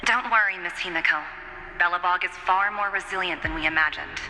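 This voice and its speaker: A confident business envoy in a game, really bright crisp voice, echoey. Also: female voice, fairly low pitch, brisk, faint music behind. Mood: assured. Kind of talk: video game voice acting.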